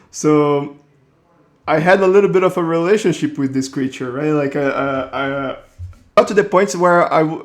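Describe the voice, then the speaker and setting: A man in his thirties from Brazil with a Brazilian accent, casually telling a rambling personal story as host of his own channel. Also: a little high. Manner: relaxed, rambling storytelling with restarts. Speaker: creature designer, channel host.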